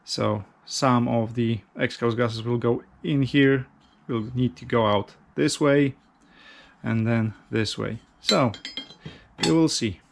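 A man casually narrating a DIY vlog in an informal, halting, self-deprecating way. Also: mid-range voice, vocal fry. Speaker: motorcycle hobbyist, video host.

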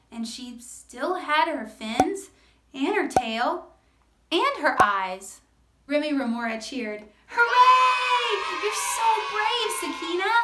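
A woman in her thirties hosting story time for young children, expressive, animated and encouraging, in simple phrases. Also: high-pitched, heavy background noise. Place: small room with cloth backdrop. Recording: roomy echo, music behind.

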